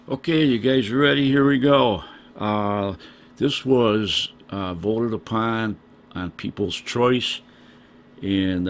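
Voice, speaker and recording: An older man with a scratchy voice, talking casually to his vlog audience with many pauses and "uh"s. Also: low voice. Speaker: vlogger. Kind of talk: casual vlog talk to camera.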